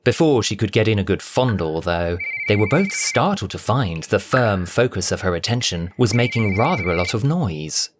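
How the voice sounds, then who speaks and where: A man narrating a dramatic audio story from a script in a theatrical, expressive way. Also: fairly low pitch, normal speed, few pauses, heavy background noise.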